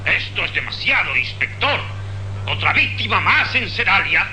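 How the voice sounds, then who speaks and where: An actor playing a furious, domineering police commissioner who scolds imperiously in a radio drama. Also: male voice, high-pitched, very animated, moderate pace, pushed and bright, exaggerated voice. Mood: angry, scolding. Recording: buzzy telephone line with faint hum.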